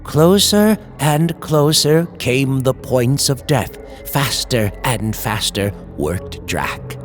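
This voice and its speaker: Slow, dramatic male horror narrator. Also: medium pitch, animated, faint music behind. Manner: dramatic, suspenseful, deliberate. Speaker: horror story narrator, channel host. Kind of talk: scripted old-time radio style horror narration.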